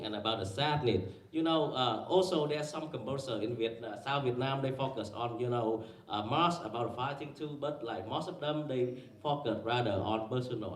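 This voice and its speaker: Halting man presenting in an echoey room. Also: mid-range voice. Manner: halting, many fillers and restarts, quizzes the audience. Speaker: conference panel presenter. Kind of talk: academic presentation with slides. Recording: echoey room, mic far away.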